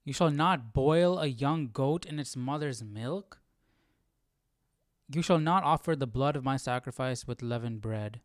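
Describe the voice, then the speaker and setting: A man's voice: mid-range voice, a little slow, no room sound, clean studio sound. A man hosting a casual live Bible study, thinking aloud, questioning and often repeating phrases.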